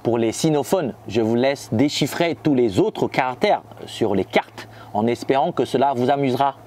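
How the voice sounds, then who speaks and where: A man from Shanghai, a native Chinese speaker, narrating a scripted lesson and saying Chinese terms slowly and carefully. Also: medium pitch, expressive, darkish tone. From Shanghai, China. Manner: reads French lines, then says Chinese terms slowly. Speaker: language teacher.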